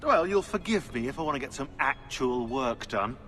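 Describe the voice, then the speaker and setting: A man's voice: medium pitch, lively intonation, moderate pace, a bit bright, big-room sound, soft background music, natural voice. An actor playing a snide, condescending male coworker in a video game, with a haughty, sarcastic delivery.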